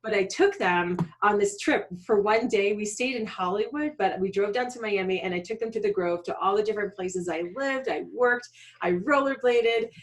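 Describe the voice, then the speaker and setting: A woman's voice: medium pitch, normal speed. Middle-aged woman telling anecdotes. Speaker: guest. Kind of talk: casual interview chat.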